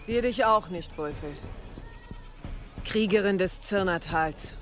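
A woman's voice: on the low side, slow, heavy background noise. A woman playing a worried, grave village mother in a German radio drama. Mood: resigned, solemn.

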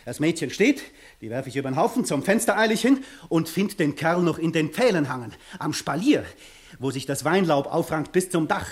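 Actor as animated hot-headed young village lad. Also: male voice, fairly high pitch, normal speed, natural voice. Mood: agitated. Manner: excitable, earnest storytelling in rustic, old-fashioned phrasing. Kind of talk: 1960s German radio play acting.

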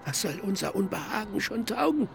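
A man's voice: sits high, sing-song, a little fast, vocal fry, fairly bright tone, full character voice. An actor playing a weary, troubled man in a stylized radio play, plaintive in manner. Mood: troubled, reflective. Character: weary, troubled soul wanting to be left alone. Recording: studio radio drama with music behind.

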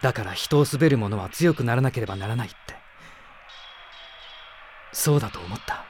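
An actor playing an idealistic young prince with a formal, sincere, intense manner in a radio drama. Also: male voice, mid-range voice, expressive, a little fast, slight background noise, close to an everyday voice. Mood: earnest pleading. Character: earnest, idealistic young prince leading an army. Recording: music behind the voice.

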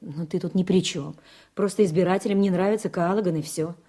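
A woman's voice: lowish voice, steady tone, a little fast, warm tone, lightly acted. An actress playing a plain-spoken, reassuring wife and mother in a radio play. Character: soothing mother and wife in a family.

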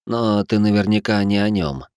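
A man voicing a gruff, suspicious American cop of about forty who talks bluntly and gives orders. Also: lowish voice, little pitch movement, moderate pace, darkish tone, dry recording, studio quality, close to an everyday voice. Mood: mocking. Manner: blunt, rude, commanding.

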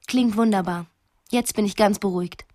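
An actress playing a sly, restless, determined wife in a radio drama. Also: female voice, medium pitch, moderate pace, mellow, slight background noise, lightly acted. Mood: pointed, ironic.

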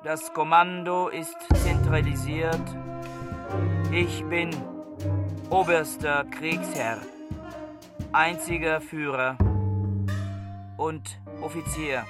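An actor playing a fanatical bookish man who proclaims himself supreme warlord in a radio play, solemn and commanding in halting phrases. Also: fairly high pitch, steady tone. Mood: pompous, commanding. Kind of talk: radio play, declaimed manifesto. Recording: loud music over the voice. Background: loud music with drums and guitar.

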